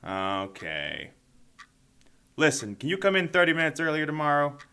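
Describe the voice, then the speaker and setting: An actor playing a pompous, petty fast-food manager in an audio drama, with an officious, blustering manner. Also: male voice, fairly high pitch, big pitch swings, bright, forceful tone, smooth, full character voice.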